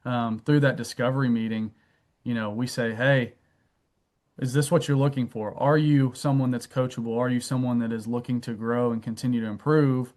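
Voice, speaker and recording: A man guesting on a podcast talks at an ordinary mid pitch, measured and even. As a wealth advisor, he lays out his points through client stories. He fills his pauses with a soft "um". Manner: steady, anecdotal, filler "um". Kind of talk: explanatory interview answers.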